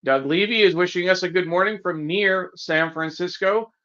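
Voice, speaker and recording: A man's voice: high voice, normal speed, bright, forceful tone, muffled recording. A man co-hosting a live online show as its producer, welcoming viewers and reading out greetings. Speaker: executive producer and co-host.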